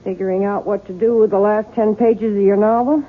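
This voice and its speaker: A woman's voice: medium pitch, steady tone, brisk, lightly acted. An actress playing a blunt, practical, nosy mother-in-law in a 1970s radio drama. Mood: nosy, disapproving. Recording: muffled narrow-band old radio broadcast.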